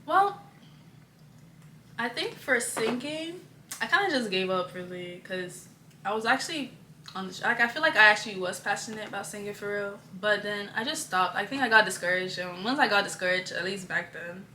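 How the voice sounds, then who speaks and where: A young woman from Nigeria, a guest in casual chat, talking in a relaxed, rambling way with slang. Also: mid-range voice, moderate pace. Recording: echoey room, faint noise.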